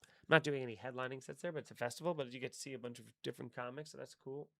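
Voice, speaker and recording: A man's voice: mid-range voice, steady tone, rapid-fire, gravelly, pushed and bright, dry recording. A young man hosting a live stream, chatting casually, rambling and swearing with wry asides. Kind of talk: casual live-stream chat reading chat comments.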